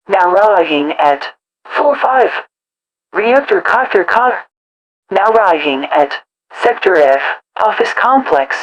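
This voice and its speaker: Lower-pitched woman, automated transit announcer. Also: rough recording. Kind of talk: scripted automated public-address announcement.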